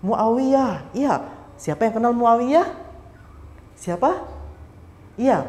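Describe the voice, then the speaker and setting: Male children's storyteller, slow and engaging, quizzes kids; roomy hall sound. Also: on the high side, darkish tone. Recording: echoey hall with faint ambience.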